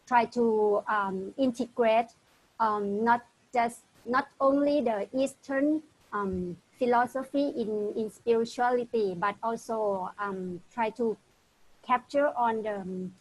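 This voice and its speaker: A fairly high-voiced woman speaks on a video call late at night, sounding tired. She talks hesitantly and stops often on ums as she explains her research. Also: slow, husky, low-quality recording. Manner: hesitant, many ums, soft laughs. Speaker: lecturer and doctoral student. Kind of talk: introducing her research in an online meeting.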